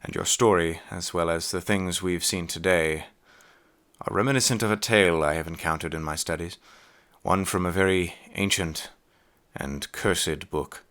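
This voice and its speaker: A deep-voiced, scholarly professor from a 1920s horror audio play, acted by a man. He is grave and formal, choosing old-fashioned words with measured care. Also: fairly even intonation, fairly bright tone. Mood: grave, concerned. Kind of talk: dramatic audio play acting and narration.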